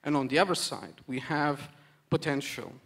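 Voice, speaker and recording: A man's voice: fairly high pitch, expressive, normal speed, vocal fry, fairly bright tone, breathy edge. Earnest man at a conference. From Romania. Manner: earnest, repetitive emphatic phrasing with hesitant restarts. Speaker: conference panel speaker. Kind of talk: conference speech.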